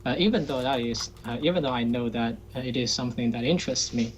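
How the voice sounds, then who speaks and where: A man's voice: mid-range voice, steady tone, quick pace. A man from China, a Chinese native speaker, talking hesitantly in a casual call with many fillers and restarts. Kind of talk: casual chat.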